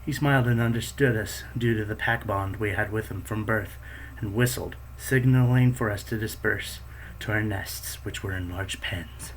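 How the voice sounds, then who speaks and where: The narration comes from an alert, protective female raptor, the beta of her pack, as a man reads her part. The voice is lowish and steady, telling the story plainly. A faint room hum sits underneath. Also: moderate pace, natural voice, low-quality recording. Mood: calm.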